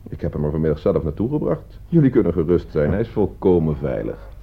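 A man's voice: a bass voice, sing-song, fast talker, vocal fry, breathy edge, heavily acted. An actor playing a self-assured, precise man who can become rattled, in a radio play. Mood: cool, self-assured. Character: self-assured man named Loimu who proves his point, turning rattled. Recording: dull lo-fi radio play recording.

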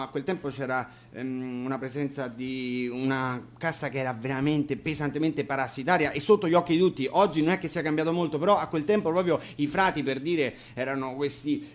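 A man introducing songs informally at a live radio concert, halting and restarting as he searches for words. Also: mid-range voice. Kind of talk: informal spoken song introductions.

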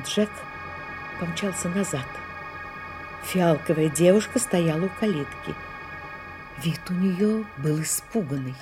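A woman's voice: lowish voice, measured pace, long pauses, over loud music, lightly acted. A woman narrating a detective audio play as a composed storyteller, in a scripted dramatic style. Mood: measured narration.

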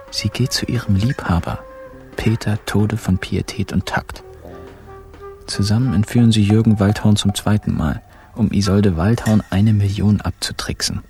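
An actor playing a wry, laconic pensioner who narrates a radio crime drama in the first person. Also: male voice, fairly low pitch, a little mellow.

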